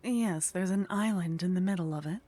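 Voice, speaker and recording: A woman playing a teasing, condescending female vampire in a soft ASMR roleplay, languid and haughty, often slipping into whispers. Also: fairly low pitch, moderate pace, natural voice. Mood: wry, coaxing. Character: teasing, condescending female vampire, sly, centuries-worldly contact.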